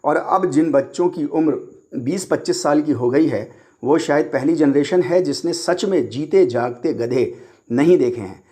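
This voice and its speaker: A man's voice: a little high, a little slow, mellow. Man giving an explanatory religious lecture. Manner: didactic, repeats key points for emphasis.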